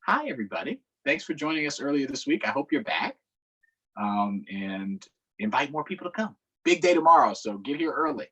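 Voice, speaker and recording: Husky American man hosting children's storytime. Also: fairly high pitch, big pitch swings, a little fast, bright, forceful tone, muffled recording. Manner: upbeat, conversational. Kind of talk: casual livestream talk to children.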